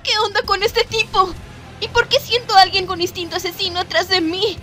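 Woman doing prim offended anime girl, super high bright voice, indignant, parody dub. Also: fairly even intonation, a little fast, faint music behind. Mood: disgusted.

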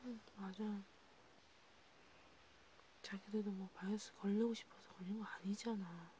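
A woman playing a sympathetic vampire counselor in a whispered ASMR role play, breathy and intimate, talking casually and haltingly. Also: medium pitch, fairly even intonation, dark tone, a little hiss or hum, natural voice, low-quality recording. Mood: soft, reflective. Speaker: ASMR creator.

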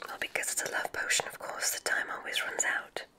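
A woman playing a kindly witch examiner in an ASMR roleplay, whispering in a deliberate, encouraging way with theatrical phrasing. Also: lightly acted. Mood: mysterious, soothing. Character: kindly witch examiner testing a magic school applicant. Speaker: ASMR performer.

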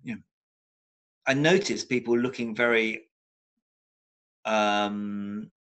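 Older guy, lowish voice, video call from home, lots of restarts and "very, very". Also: fairly even intonation, slow, a bit bright. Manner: thoughtful, many restarts, repeats words for emphasis. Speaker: psychotherapist guest. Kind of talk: reflective video-call interview. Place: home room with bookshelves. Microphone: laptop webcam on a video call.

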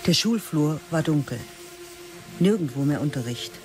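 A man narrating a radio play in a literary style, terse, measured and sober. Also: fairly high pitch, lively intonation, music in the background.

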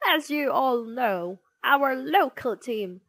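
A woman's voice: high-pitched, lively intonation, slow, fairly bright tone, no room sound, pitched above the performer's own voice, full character voice. A woman voicing a stern, snappish female schoolteacher in a storybook, scolding and commanding. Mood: commanding, irritated.

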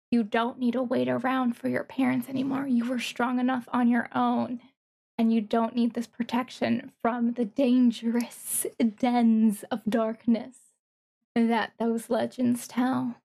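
High-pitched, slow-paced gushing woman streamer. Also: creaky. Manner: gushing, awestruck, pausing mid-phrase to think. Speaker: streamer reacting to a game trailer. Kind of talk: trailer reaction commentary.

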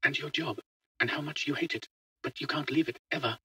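A man's voice: mid-range voice, steady tone, fairly quick, pushed and bright, breathy edge, no room sound, recorded from a distance, voice pushed up, rough recording. A man voicing a fearless, chatty eleven-year-old boy who talks simply and matter-of-factly in a story. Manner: simple, childlike, matter-of-fact. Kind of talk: audio short story dialogue.

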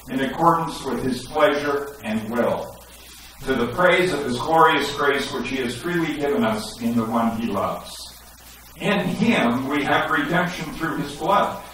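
A middle-aged man gives an animated sermon, reading scripture and pressing his listeners with emphatic questions. He wears a headset mic, yet his voice comes across from a distance. A strongly echoing church sanctuary adds long reflections to every phrase. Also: medium pitch, a little hiss or hum. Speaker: pastor. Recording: echoey sanctuary, recorded from a distance.

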